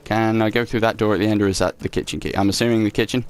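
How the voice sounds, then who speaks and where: Young man, lowish voice, sarcastic, swears, gameplay commentary, faint game music behind. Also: fairly even intonation, very fast. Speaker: let's play host. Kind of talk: casual gameplay commentary, reading notes aloud.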